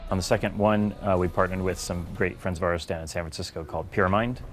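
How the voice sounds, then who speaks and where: Deep guy from the production team talking in an interview, pretty monotone, music under it. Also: quick pace. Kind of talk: behind-the-scenes interview. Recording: music behind the voice.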